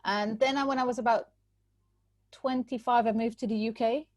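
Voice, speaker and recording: A woman, giving a measured, explanatory personal account as a guest. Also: on the high side, fairly even intonation, darkish tone, no echo, clean studio sound. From Trinidad and Tobago. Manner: measured, explanatory, qualifies her points. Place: church recording.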